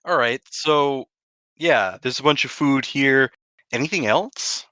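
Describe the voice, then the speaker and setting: A man's voice: mid-range voice, slow pace, sharp tone, dry recording. A man playing a tabletop role-playing game, chatting casually and inquisitively in informal language with some mild swearing.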